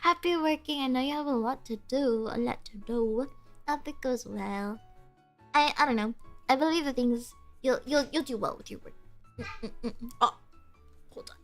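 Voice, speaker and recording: Giggly high-voiced young woman streamer. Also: moderate pace, faint music behind. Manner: bubbly, giggly, lots of "like" fillers. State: stuffy nose, sniffly. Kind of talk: casual chat with stream chat.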